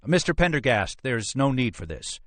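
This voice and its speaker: A man's voice: mid-range voice, big pitch swings, normal speed, a bit bright, no echo, pitched above the performer's own voice. A man voicing a flustered, officious police captain in an audiobook, blustering and protesting. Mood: anxious pleading.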